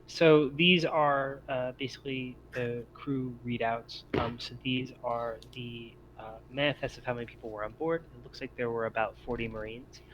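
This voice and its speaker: A man's voice: mid-range voice, fairly even intonation, normal speed, few pauses, fairly bright tone, slight background noise, poor audio. A man working as game master, narrating a tabletop roleplaying game in an improvised, conversational and hesitant way.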